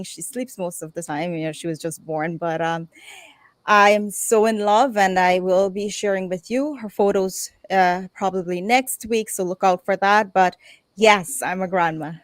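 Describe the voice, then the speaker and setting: A middle-aged woman hosts a live online show in an effusive, heartfelt way. Her voice sits at an ordinary pitch. The recording is dry, with no room echo. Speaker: talk show host.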